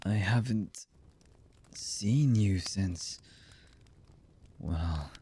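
A voice actor playing a cold, embittered villain man in an ASMR roleplay, husky and menacing, with halting delivery that often drops to a murmur. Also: mellow, slight background noise. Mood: stunned disbelief. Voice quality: husky, low.

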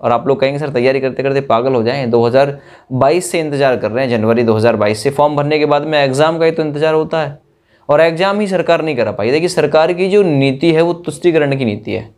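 A man's voice: on the high side, fast, a little mellow. A young man giving an informal exam news update to camera in an emphatic, colloquial way full of rhetorical questions. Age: young adult. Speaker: exam coaching YouTuber.

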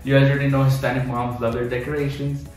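A man's voice: medium pitch, flat delivery, fast talker, a little mellow, distant mic. A man, chatting casually on a house tour and slipping Spanish words into his English. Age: 20s. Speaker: vlogger. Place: indoors, small bathrooms and bedrooms of a house. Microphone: handheld camera or phone held by another person, a few feet away. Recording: roomy echo, voice away from the mic.

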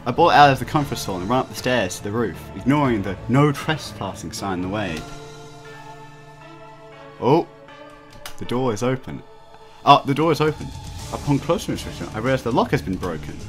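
A man's voice: medium pitch, lively intonation, brisk, long pauses. A young man playing a video game, reading its text aloud with stumbles and joking asides. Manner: stumbling read-aloud delivery, often breaking into laughter. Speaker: let's player reading a visual novel aloud. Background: video game music.

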